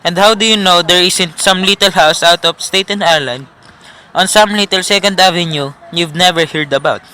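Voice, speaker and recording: Young man acting a bright-voiced, dismissive police desk officer. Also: sits high, normal speed, smooth, rough recording. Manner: dismissive, placating. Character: unimpressed, patronizing male police desk officer. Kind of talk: suspense radio drama acting.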